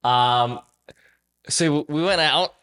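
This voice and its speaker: A man's voice: on the high side, drawn-out words, fairly bright tone, clean tone. A man in casual podcast conversation, telling a personal story in an informal, emphatic way. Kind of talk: casual chat.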